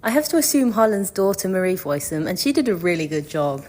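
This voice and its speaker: A woman's voice: lowish voice, big pitch swings, a little fast, darkish tone. A woman leaving a casual fan voice message, gushing and swearing freely. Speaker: listener sending a voice message.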